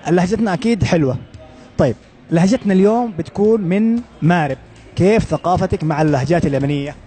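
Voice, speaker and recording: A man with a Yemeni accent interviewing passersby in the street with brisk, upbeat questions. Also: high voice, expressive, dark tone, faint music behind, some chatter behind. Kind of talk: street vox pop interviews.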